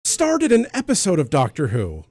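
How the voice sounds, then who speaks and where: A man's voice: on the high side, wildly swooping pitch, moderate pace, darkish tone, no echo. An American man co-hosting a casual discussion, explaining details and trivia in a knowledgeable way. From United States. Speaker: podcast co-host. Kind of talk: casual explanatory chat about a TV show.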